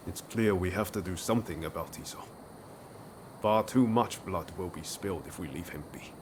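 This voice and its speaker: A man's voice: low-pitched, normal speed, background noise, close to an everyday voice. An actor playing an earnest, idealistic swordsman in a video game, friendly and plain-spoken. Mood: concerned. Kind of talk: video game cutscene acting.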